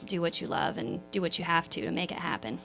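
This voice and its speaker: A woman singer-songwriter with a slightly hoarse voice, talking modestly in a radio interview. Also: fairly low pitch, lively intonation, quick pace, fairly bright tone, faint music behind. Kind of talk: casual radio interview chat.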